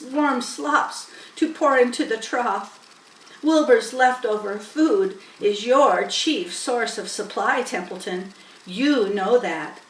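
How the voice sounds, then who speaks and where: Old sheep, barn elder, woman acting it: firm, lecturing, unhurried storybook delivery with room echo. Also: a little high, far from the mic, a little hiss or hum, lightly acted. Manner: emphatic, lecturing.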